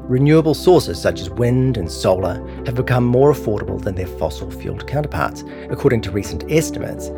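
A man with a New Zealand accent reading a scripted long-form article as a documentary narrator. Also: fairly low pitch.

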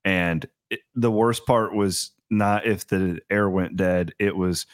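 A man, hosting a casual podcast chat and talking in quick bursts with restarts. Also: low voice, no echo, studio quality. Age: 30s. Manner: energetic, talks in bursts with restarts. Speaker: podcast host.

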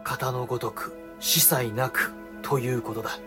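An actor playing a measured, deferential samurai official in an audio drama, with formal, restrained delivery. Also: male voice, mid-range voice, steady tone, over loud music, close to an everyday voice. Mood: resigned. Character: measured, deferential senior samurai official, sympathetic but pragmatic.

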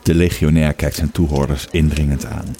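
Very deep, dark-voiced male narrator. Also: level tone, moderate pace, a little hiss or hum. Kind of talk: scripted narration of an audio play.

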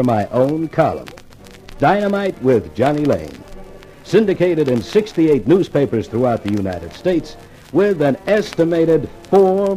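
An actor playing a smug, wisecracking newspaper columnist in a 1950s hardboiled radio mystery, glib and breezy. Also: male voice, medium pitch, dark tone, natural voice, low-quality recording. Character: cocky, wisecracking American male newspaper columnist. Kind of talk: 1950s hardboiled radio drama narration and dialogue.

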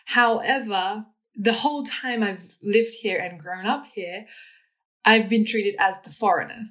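Relaxed woman in her twenties. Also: mid-range voice, a little slow. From Japan. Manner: relaxed, rambling with fillers. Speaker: guest. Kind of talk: casual interview answer.

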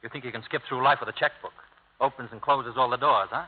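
An actor playing a cocky, hard-boiled American lawyer with clipped, wisecracking delivery in a radio drama. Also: male voice, medium pitch, quick pace, breathy edge, close to an everyday voice. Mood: scornful, insolent. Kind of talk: 1950s radio drama acting. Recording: tinny old radio broadcast, hall reverb.

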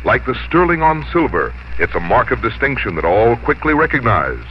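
A man's voice: mid-range voice, very animated, normal speed, slight background noise. A man working as a radio announcer, delivering formal scripted copy in 1950s broadcast style. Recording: dull 1950s radio recording, faint music.

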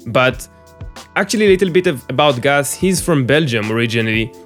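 A man's voice: medium pitch, animated, a little fast, fairly bright tone, faint music behind. A man hosting a podcast interview, talking casually with frequent fillers and restarts. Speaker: podcast host.

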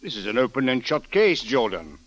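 An unyielding male police official in vintage radio drama. He is formal, clipped and sardonic, with a medium pitch on a dry, dull recording. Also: big pitch swings, fairly quick, no room sound. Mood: stern. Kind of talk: 1940s radio drama acting.

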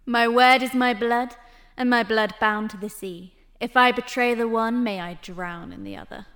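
An actress playing a poised, sly tavern madam in a fantasy audio drama, courteous, coy and measured. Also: female voice, a little high, animated, fairly bright tone. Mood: solemn. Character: poised, sly female tavern and brothel owner.

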